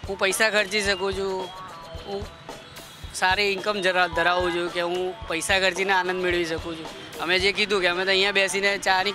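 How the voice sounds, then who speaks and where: High-voiced man, articulate and measured. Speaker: interviewee. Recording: music playing underneath.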